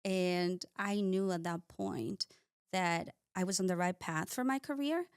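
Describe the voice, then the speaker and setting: A woman's voice: on the low side, unhurried, smooth, no room sound, clean studio sound. Ecuador-raised woman telling her story. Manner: warm storytelling with frequent "uh" and small grammar slips. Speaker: guest, cosmetic scientist. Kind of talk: casual interview talk.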